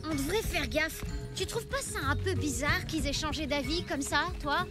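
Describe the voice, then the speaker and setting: High-pitched, wary cartoon child. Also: animated, normal speed, loud music behind the voice. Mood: suspicious. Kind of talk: animated cartoon dubbing.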